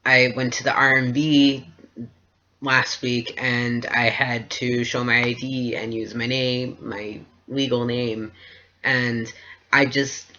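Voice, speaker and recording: A man's voice: medium pitch, flat delivery, takes it slowly, bright, forceful tone. A young man talking through a personal video diary in a hesitant, reflective way. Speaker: vlogger. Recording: dull lo-fi recording.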